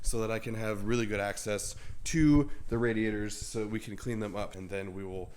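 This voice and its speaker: A man's voice: lowish voice, fairly even intonation, moderate pace, a little mellow. Young male car-vlog host, congested from a cold, chatty and self-deprecating, home garage. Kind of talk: casual garage vlog talk.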